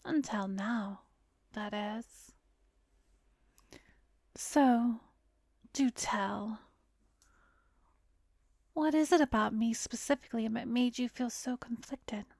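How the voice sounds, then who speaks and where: A woman playing an arrogant, seductive vampire therapist in a roleplay ASMR, teasing and hypnotic, slipping into breathy whispers. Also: on the high side, a little mellow, dry recording, studio quality, heavily acted. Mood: smug, teasing. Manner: haughty, teasing, drawn-out delivery with breathy whispers. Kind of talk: roleplay ASMR, slow hypnotic close-up acting.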